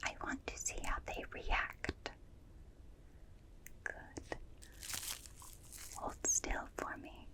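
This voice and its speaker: A woman voicing a gentle, curious alien examiner in a breathy whisper, slow and soothing, in an ASMR roleplay. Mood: curious, fascinated. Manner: slow, soothing, softly clinical, repeats phrases. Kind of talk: ASMR roleplay, personal attention.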